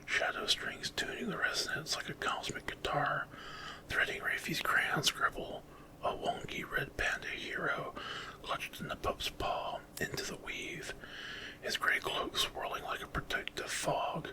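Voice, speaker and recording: A man whispers a fantasy horror tale in ASMR style. His delivery is slow and intense, with dramatic, very animated swings in the hushed voice. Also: fairly high pitch, low-quality recording. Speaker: storyteller.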